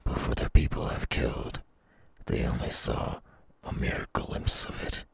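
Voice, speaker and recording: A man voicing a menacing, gloating young demon villain in an ASMR audio roleplay, with a husky, breathy, gravelly voice. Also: unhurried, no room sound, natural voice. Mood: gloating menace.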